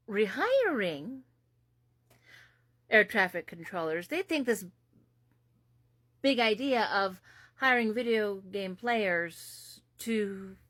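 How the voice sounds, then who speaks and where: A woman from the United States speaks in slow, drawn-out phrases, heavy with sarcasm and disbelief. Her voice sits in a middle register. The recording is dry and close. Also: mid-range voice, a bit bright, dry recording. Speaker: commentary host. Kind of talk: political commentary.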